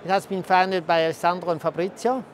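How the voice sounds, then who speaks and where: A man's voice: high-pitched, steady tone, fast talker. A middle-aged man, an art collector, talking casually during a guided visit. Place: large indoor art fair hall. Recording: faint fair hall noise.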